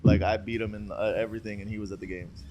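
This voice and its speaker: A man's voice: fairly low pitch, flat delivery, fast talker, warm tone, low-quality recording. A man co-hosting a podcast in casual chat, argumentative and swearing often. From United States. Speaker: podcast co-host. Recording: background noise.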